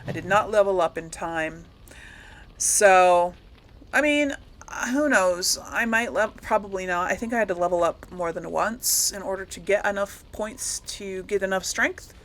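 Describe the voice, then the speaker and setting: A woman's voice: medium pitch, a little slow, a bit bright. A woman giving casual, rambling let's-play commentary to viewers, thinking aloud as she goes. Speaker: gaming video host.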